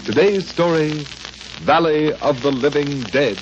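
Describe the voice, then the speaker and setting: A man's voice: on the high side, measured pace, music in the background. An American man reading scripted 1930s radio announcements in an emphatic, polished manner. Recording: crackling, muffled 1930s radio recording.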